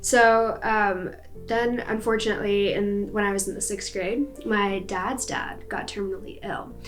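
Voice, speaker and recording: A woman's voice: medium pitch, steady tone, a little slow, soft background music, low-quality recording. A young woman with an American accent telling a personal story in a chatty, casual way, with many fillers. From United States.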